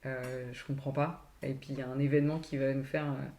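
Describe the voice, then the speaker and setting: A woman hosting a podcast, speaking hesitantly and reflectively, with many pauses and restarts. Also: a bass voice, flat delivery, warm tone. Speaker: podcast host. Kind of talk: casual interview talk. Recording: roomy echo.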